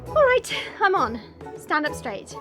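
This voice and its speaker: A woman's voice: high voice, sing-song, a little slow, over music. A woman playing a haughty, short-tempered dragon princess in an audio drama, imperious and mocking. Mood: commanding. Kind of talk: audio roleplay drama acting.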